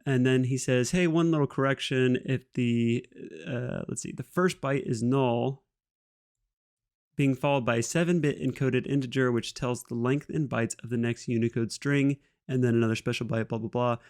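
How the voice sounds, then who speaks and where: A man's voice: mid-range voice, fairly even intonation, normal speed, darkish tone, clean tone. A man walking through a screen-share coding tutorial in a casual, self-deprecating way, thinking aloud and using fillers as he goes. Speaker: instructor.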